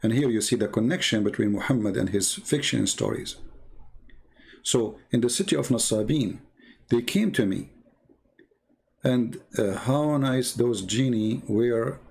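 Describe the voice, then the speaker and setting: Sardonic man with a creaky, gravelly voice. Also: on the low side, a little mellow. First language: not English. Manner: sardonic, mocking. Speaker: commentator presenting religious texts. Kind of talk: informal polemical commentary, retelling and reading aloud.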